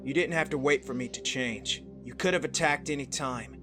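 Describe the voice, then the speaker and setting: A man playing a solemn, protective young demigod hero in an anime visual novel, in an earnest, deliberate way. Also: medium pitch, natural voice. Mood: brooding. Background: background music.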